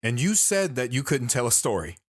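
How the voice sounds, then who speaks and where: A man's voice: mid-range voice, sing-song, a little fast, dry recording. Man acting a world-weary wanderer, dry close sound. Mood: brooding. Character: lone wandering man, world-weary, philosophical, sorrowful storyteller. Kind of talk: audio drama acting.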